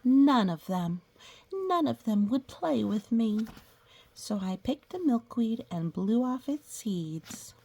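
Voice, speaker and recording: A woman reading a picture book aloud to young children, gently pausing to explain things and ask questions. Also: a little high, big pitch swings. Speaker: children's story reader.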